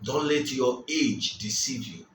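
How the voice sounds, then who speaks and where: A man preaching a sermon, speaking slowly and with emphasis, repeating his key phrases. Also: medium pitch, fairly bright tone, slight background noise. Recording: roomy, distant mic, faint ambience.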